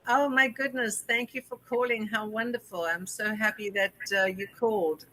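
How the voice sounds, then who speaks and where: South african lady hosting a call-in, bright voice, dry close sound, gushes a lot. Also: fairly high pitch, fairly even intonation, moderate pace, dry recording, low-quality recording. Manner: chatty, effusive, with frequent fillers. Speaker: host. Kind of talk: call-in interview show.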